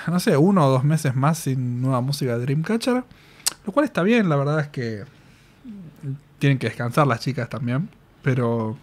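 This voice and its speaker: A man in his thirties with an Argentine Spanish accent, giving casual, affectionate fan commentary as a reaction host, close on a studio microphone. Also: medium pitch. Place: small indoor home studio. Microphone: close studio microphone on boom arm. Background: music.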